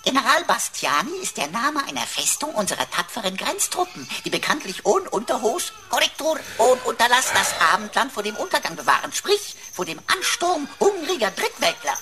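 A woman's voice: fairly high pitch, sing-song, brisk, hardly any pauses. A woman playing a cheeky, wordy talking computer in a sci-fi radio play, pompous and mock-servile with playful wordplay.

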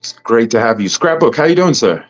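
A loud-voiced man hosting a casual panel discussion over a video call. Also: expressive, a little fast. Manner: loud, booming voice. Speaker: host. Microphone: computer mic on a video call.

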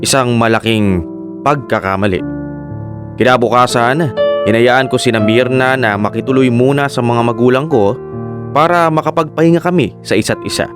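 An actor narrating as a remorseful fisherman in a radio drama, quiet and reflective. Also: medium pitch, normal speed, lightly acted. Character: remorseful fisherman narrating his own story. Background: guitar music.

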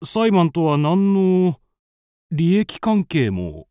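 An actor playing an earnest, easily astonished friend of a detective, with dramatic, halting radio drama delivery. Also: male voice, fairly high pitch, very animated, dark tone, clean tone, dry recording, studio quality, full character voice. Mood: puzzled, reading. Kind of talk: Japanese radio drama acting.

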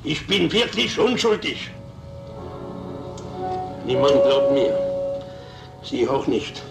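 Slow, creaky, broken peddler. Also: male voice, medium pitch, sing-song, heavily acted. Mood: despairing. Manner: slow, halting, plaintive. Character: broken, frightened peddler pleading his innocence. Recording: echoey old soundtrack with music behind.

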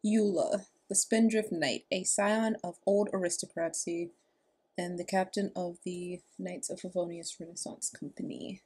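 Husky young woman reacting casually. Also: fairly low pitch, steady tone, unhurried, mellow. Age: young adult. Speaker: reaction video host. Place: small room indoors. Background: background music.

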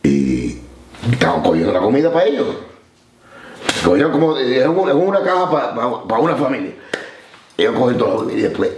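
A Cuban man in his forties with a Cuban Spanish accent, telling stories in a relaxed way and mixing in English slang. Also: mid-range voice, brisk, pauses often, echoey room, slight background noise. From Cuba. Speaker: interview guest. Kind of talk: storytelling interview. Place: studio set, seated on couch.

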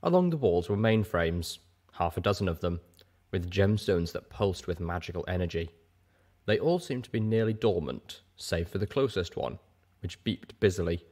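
A man's voice: sits low, lively intonation, normal speed, darkish tone. A man reading scripted first-person fiction as an audiobook narrator.

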